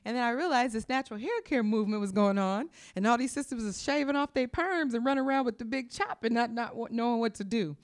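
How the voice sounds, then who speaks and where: A woman's voice: a little high, quick pace, few pauses. A woman from California, a hip hop artist and hairstylist, talking passionately at length as an interview guest, with fillers. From Sacramento, California. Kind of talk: casual interview talk.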